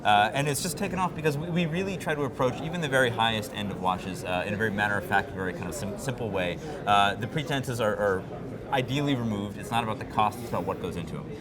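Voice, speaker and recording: A man's voice: fairly low pitch, fast talker, music in the background. An American man in his thirties, a guest in an interview, talking fluently and confidently with many fillers at a trade fair. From United States. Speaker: guest, website founder. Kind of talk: conversational interview. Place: busy trade fair booth. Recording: loud crowd chatter behind.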